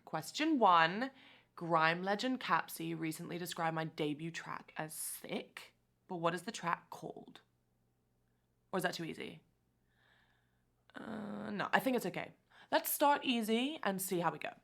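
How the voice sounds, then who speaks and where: A woman's voice: lowish voice, a little slow, creaky, pauses often, a bit bright. An actress playing a bubbly, self-absorbed teenage girl who hosts a family quiz and talks in casual, gossipy slang. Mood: chirpy, eager. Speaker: quiz host in the story. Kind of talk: naturalistic screen drama dialogue.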